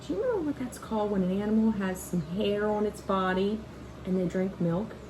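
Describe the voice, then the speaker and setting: A woman's voice: mid-range voice, animated, a little fast, darkish tone, a little hiss or hum, rough recording. A woman reading a children's picture book aloud like a teacher, expressively and in a child-directed way, with asides to point things out and ask questions. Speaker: read-aloud storyteller and teacher.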